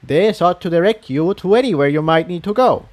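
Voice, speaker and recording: A man voicing a busy, courteous, absent-minded male pony townsman in a storybook, with a friendly, hurried manner. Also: high voice, expressive, a little mellow, voice pushed up. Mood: apologetic, helpful.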